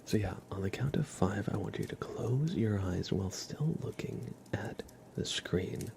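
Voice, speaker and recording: A man speaking gently and softly, at times whispering, as an ASMR livestreamer guiding a relaxing scene. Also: lowish voice, animated. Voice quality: soft, partly whispered. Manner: gentle, unhurried, casual. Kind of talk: ASMR, soft relaxing talk and guided visualization. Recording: clear crinkling and ambient noise.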